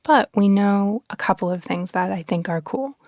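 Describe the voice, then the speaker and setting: A woman, a podcast guest explaining science in an expressive, articulate, conversational way. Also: mid-range voice, normal speed, no echo, clean studio sound.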